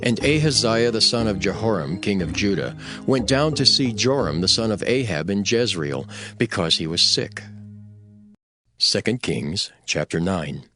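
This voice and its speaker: Low-voiced male scripture narrator over music. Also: animated, unhurried, sharp tone.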